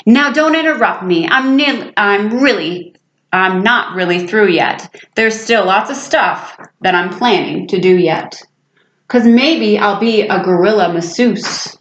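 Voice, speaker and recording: A woman voicing an excitable, boastful schoolboy with big dreams in a storybook, eager and grandiose as if making a speech. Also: mid-range voice, a little slow, natural voice. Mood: playful, insistent. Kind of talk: storybook read-aloud. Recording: echoey room, slightly muffled sound.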